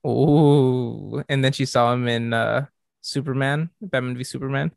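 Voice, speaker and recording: Young man, gravelly, teasing podcast banter, dry sound. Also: medium pitch, fairly even intonation, dry recording. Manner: teasing, quick comebacks, laughs often. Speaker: podcast co-host. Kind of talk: casual chat.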